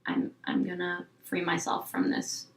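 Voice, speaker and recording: A woman's voice: on the low side, completely flat delivery, a little slow, recorded from a distance, slight background noise. A young woman in her twenties in a candid interview, thinking aloud in a rambling, reflective way, with lots of "like". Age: 20s. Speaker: guest. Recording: echoey room, a bit off-mic.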